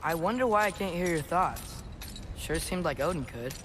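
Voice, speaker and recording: A young man plays a plucky teenage giant boy in a video game, with a high, youthful voice. He talks casually and earnestly, determined underneath. Clear ambient noise sits behind the voice. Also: animated, normal speed, natural voice. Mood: casual, musing. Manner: casual, earnest, talking to himself. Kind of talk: naturalistic video game acting.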